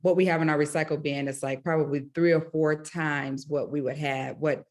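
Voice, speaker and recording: A woman with a low voice talks loosely in a group discussion, full of "you know" and "um". She speaks in a plain, everyday way over a video call. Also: steady tone, a little fast, a little mellow. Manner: rambling, many fillers. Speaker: meeting participant. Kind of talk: casual discussion.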